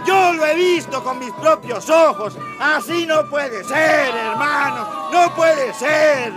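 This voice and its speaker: Radio play Mexican revolutionary, fiery peasant leader, very high shouted voice, drawn-out delivery, loud music and crowd behind. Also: male voice. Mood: indignant. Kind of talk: radio drama acting.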